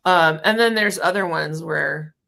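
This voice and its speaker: A middle-aged woman hosts an interview show over a video call, her voice pitched a little low with plenty of vocal fry. She sounds bright and forward, leaning into an energetic, upbeat delivery. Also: a little slow. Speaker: podcast host. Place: home office.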